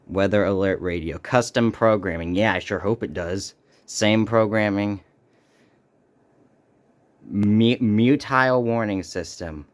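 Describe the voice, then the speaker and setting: A man hosting a casual, unscripted unboxing video, speaking haltingly and muttering to himself as he goes. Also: lowish voice. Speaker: video host doing an unboxing.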